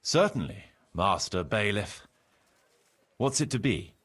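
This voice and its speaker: A husky, low-voiced village steward. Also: male voice, very animated, a bit bright, exaggerated voice. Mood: obliging. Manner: deliberate, reporting, courteous. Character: obliging, measured medieval village building steward. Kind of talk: video game cutscene acting.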